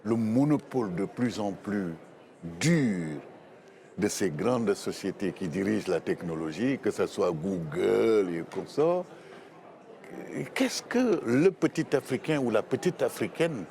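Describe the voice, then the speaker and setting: Low-voiced male debate moderator. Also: expressive, measured pace, darkish tone.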